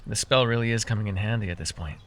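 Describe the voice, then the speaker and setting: A man's voice: lowish voice, quick pace, a bit bright, close to an everyday voice. A man playing an easily flustered, teasing but caring fae forest guide in a fantasy roleplay, with exasperated banter. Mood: mildly exasperated. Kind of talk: immersive fantasy roleplay ASMR acting.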